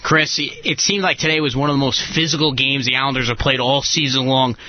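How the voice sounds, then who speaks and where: A man's voice: medium pitch, steady tone, a little fast, highs cut off. A man co-hosting a sports show and asking a guest questions.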